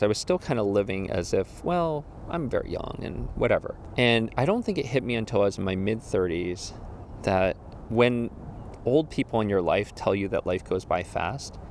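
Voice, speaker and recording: A man's voice: on the low side, expressive, moderate pace, darkish tone, slight background noise. A man in his forties musing casually about getting older, rambling with restarts and quoted asides. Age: 40s. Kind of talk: casual reflective monologue.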